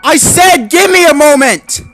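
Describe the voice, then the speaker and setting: Male reader doing a nervous, stammering big dog, pushed high, easily flustered. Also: takes it slowly, faint music behind. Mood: panicked. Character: jittery anxious male Great Dane. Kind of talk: storybook character voice.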